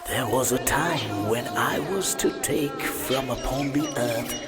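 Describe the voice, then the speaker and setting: Man voicing a slow, theatrical ancient god storyteller. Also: mid-range voice, loud music behind the voice, lightly acted. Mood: stately, reminiscent. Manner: theatrical, weighty, dwelling on words. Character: ancient male god, grand storyteller of myths. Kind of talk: dramatic mythological narration in a video game.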